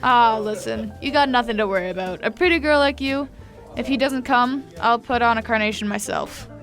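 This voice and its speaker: A woman's voice: on the high side, normal speed, fairly bright tone, faint music behind. A woman playing a folksy, world-weary cafe waiter in a radio comedy, talking in a familiar, blunt, consoling way. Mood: reassuring. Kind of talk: old-time radio romantic comedy acting.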